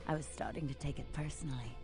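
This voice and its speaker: An actress voicing a seductive, melancholic female vampire nightclub owner in a video game, with a breathy, teasing, lingering delivery. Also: a bass voice, fast, over music. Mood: confiding, coy.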